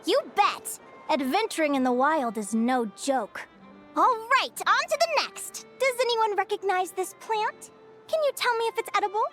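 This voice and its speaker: A woman's voice: very high voice, animated, moderate pace, music in the background. An actress playing a cheerful young adventuring advisor in a video game, perky and instructive as she quizzes listeners. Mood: upbeat, instructive. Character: Linnea, cheerful young female adventuring advisor teaching a crowd.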